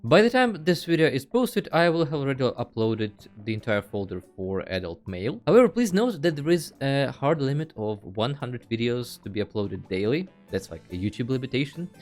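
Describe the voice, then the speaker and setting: Male tutorial host, animated mid-range voice, casual and playful delivery, faint music underneath. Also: mid-range voice, fairly quick, few pauses. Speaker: host of a video game tutorial channel. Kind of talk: casual tutorial screen narration.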